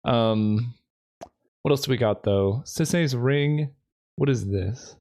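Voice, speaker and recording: Hoarse guy musing out loud over a card draft, mid pitched, lots of ums and likes. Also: takes it slowly. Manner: thinking aloud, many "um" and "like" fillers. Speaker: gaming video creator. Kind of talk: casual commentary while drafting a card game.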